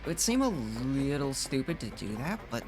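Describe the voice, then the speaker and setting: A woman's voice: very deep voice, very animated, normal speed. A woman game streamer giving casual commentary as she plays a video game. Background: game music.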